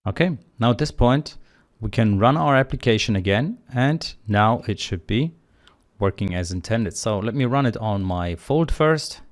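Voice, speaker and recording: A man teaching programming in a screencast tutorial, calmly explaining the code step by step in a relaxed, conversational way. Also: on the low side, normal speed. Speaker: programming instructor.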